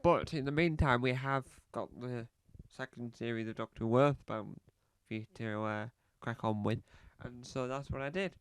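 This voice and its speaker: A man playing a self-important male celebrity podcaster, rambling and halting with a slight cough, speaking close to a dry mic. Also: medium pitch, smooth, natural voice. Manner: rambling, halting, fond of repeating phrases. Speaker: comedy podcast host character. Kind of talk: scripted comedy monologue.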